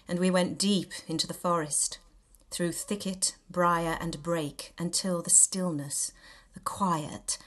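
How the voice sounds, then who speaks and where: A woman reading a novel extract aloud in an expressive, theatrical and measured storytelling style. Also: deep voice. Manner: theatrical, measured storytelling with dramatic emphasis and hushed dips. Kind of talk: expressive scripted audiobook narration.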